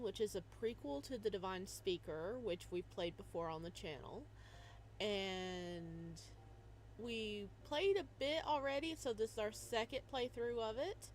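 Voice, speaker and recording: A woman's voice: fairly high pitch, normal speed, a little hiss or hum. A young woman hosting a casual live stream, chatting and laughing as she plays a visual novel and greets her viewers by name. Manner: bubbly, giggly, greets chatters by name, hums to herself.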